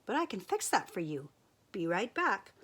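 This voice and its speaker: Woman reading a calm storybook sheepdog, matter-of-fact, lively intonation. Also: medium pitch, normal speed, husky. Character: patient, hairy male sheepdog who guards sheep.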